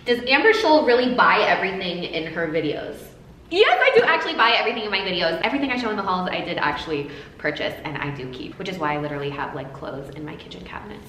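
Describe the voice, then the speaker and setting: A woman's voice: mid-range voice, a bit bright, recorded from a distance. A young woman from the United States answering fan questions in a playful, jokey self-interview vlog, with big swings of inflection. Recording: roomy echo, faint noise.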